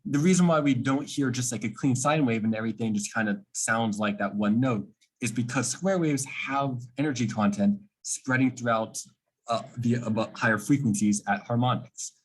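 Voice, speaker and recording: A man with a slightly husky voice giving an informal technical explanation, like a student presenting a project, posing questions and then answering them. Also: medium pitch, normal speed, poor audio.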